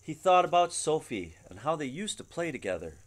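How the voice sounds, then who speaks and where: A middle-aged man reading a children's picture book aloud at home in an expressive, dramatic storytelling manner. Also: on the high side, fairly quick. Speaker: storybook reader. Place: living room at home. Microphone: webcam at about arm's length.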